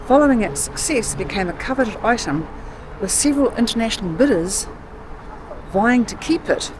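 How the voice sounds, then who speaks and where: A woman with a New Zealand accent talks into an action camera as she walks through a city at night. She mixes facts with quick, delighted remarks, and clear outdoor city noise sits behind her voice. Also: on the high side, lively intonation. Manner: chatty, easily impressed, exclaims often. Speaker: travel vlogger. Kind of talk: walking tour vlog with facts. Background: city street and traffic sounds.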